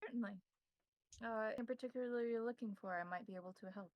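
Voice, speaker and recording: A woman playing a courteous vampire gardener and ritual keeper in a tabletop game, speaking in formal, measured phrases. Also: on the high side, dry recording, dull audio, close to an everyday voice. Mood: courteous, helpful.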